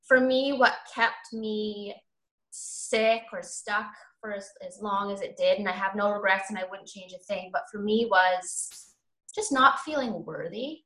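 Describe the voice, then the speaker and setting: A woman's voice: medium pitch, unhurried, bright, forceful tone. A woman guest on a podcast speaking earnestly and encouragingly, candid and a little profane. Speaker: podcast guest, recovery advocate. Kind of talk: casual interview chat. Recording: roomy echoey sound.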